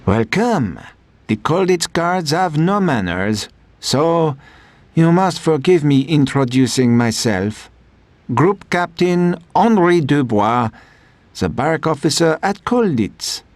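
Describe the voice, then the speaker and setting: An actor playing a polite, formal French prisoner-of-war officer in a video game. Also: male voice, a little high, sing-song, takes it slowly, no room sound. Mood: courteous. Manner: courteous, formal. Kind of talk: video game cutscene acting.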